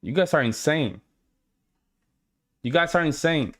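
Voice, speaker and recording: Blunt streamer, dismissive. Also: male voice, fairly high pitch, unhurried. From Puerto Rico. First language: Spanish. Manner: blunt, dismissive, repeats himself. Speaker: reaction streamer. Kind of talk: live reaction commentary answering chat.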